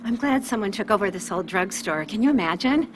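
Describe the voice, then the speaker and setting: A woman's voice: mid-range voice, expressive, brisk, background noise, close to an everyday voice. A woman playing a chatty, tactless, health-obsessed small-town customer in a video game, gossipy and oversharing. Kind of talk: video game character dialogue.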